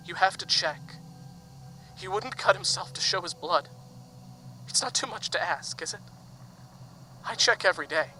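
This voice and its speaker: A man's voice: high-pitched, slight background noise, poor audio. An actor playing a paranoid young man who is sure his friends are not human, agitated and insistent in an audio drama. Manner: agitated and insistent, turning hushed and confiding. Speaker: lead character. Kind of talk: amateur audio drama acting.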